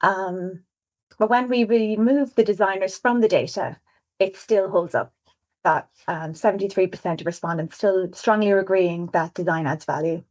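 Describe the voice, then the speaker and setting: A woman with an Irish accent, a visual designer presenting survey results on a webinar in a quick, chatty way. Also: mid-range voice, darkish tone. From Ireland. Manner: fast-talking, chatty, self-aware. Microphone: video call. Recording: dull lo-fi webinar audio.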